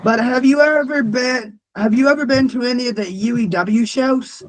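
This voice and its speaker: A man's voice: very high-pitched, no echo, low-quality recording. A man in his twenties co-hosting a casual fan interview, blunt and heavy on the swearing. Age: 20s. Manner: profane and blunt, quick to rant. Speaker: podcast co-host.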